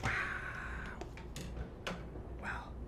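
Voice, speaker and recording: A man playing a friendly, awkward, down-on-his-luck barber on the run, rambling haltingly in an ASMR roleplay with a breathy whisper. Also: very noisy. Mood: pleasantly surprised. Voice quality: breathy whisper with some fry.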